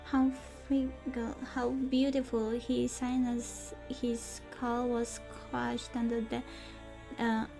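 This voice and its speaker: High-voiced woman streaming a game. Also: flat delivery, creaky, warm tone. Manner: halting, stumbles when reading. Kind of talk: casual gameplay commentary and reading on-screen text aloud. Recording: game music behind. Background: video game music.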